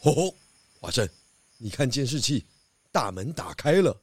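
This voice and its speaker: A man's voice: medium pitch, sing-song, normal speed, noisy background, natural voice. A man playing a confident, polite pig detective in a children's audio drama. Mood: alert.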